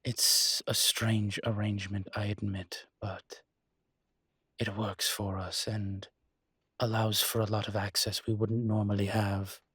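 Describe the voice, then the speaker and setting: A man playing a weary, guarded winged demon in an intimate roleplay audio drama, speaking in a husky, breathy voice with dry, halting phrasing. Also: fairly low pitch, flat delivery, a bit bright, no room sound.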